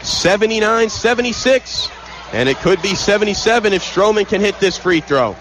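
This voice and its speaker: A man's voice: high voice, animated. A man calling live basketball play-by-play on the radio in vivid, clipped phrases, from an indoor arena. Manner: vivid, clipped, rapid-fire calls. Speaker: play-by-play sportscaster. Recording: loud crowd noise behind, live arena broadcast. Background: loud crowd.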